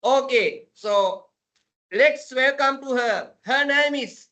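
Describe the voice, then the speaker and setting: Slow, bright-voiced Sri Lankan woman hosting. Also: high voice. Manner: halting, repetitive phrasing. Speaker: podcast host. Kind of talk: unscripted podcast introduction and interviewing.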